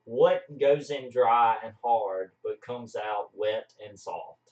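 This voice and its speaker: A man's voice: mid-range voice, slow pace, a little mellow. A man casually reading out gags and bantering with a group. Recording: muffled, roomy, far from the mic.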